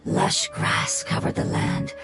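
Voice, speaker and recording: An actor playing an ancient creature that survived its lost race, speaking with slow, formal sorrow in a video game. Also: close to an everyday voice. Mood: grave, mournful. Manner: slow, solemn, formal storytelling. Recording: music behind the voice.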